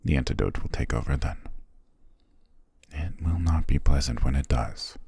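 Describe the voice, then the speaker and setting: A man performs an ancient male vampire who rules his coven in a fantasy ASMR roleplay. His voice is dark and gravelly, recorded close and dry. He speaks with archaic formality, commanding and possessive in every measured phrase. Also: no echo, full character voice. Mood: cold, menacing. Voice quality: very deep, gravelly, breathy.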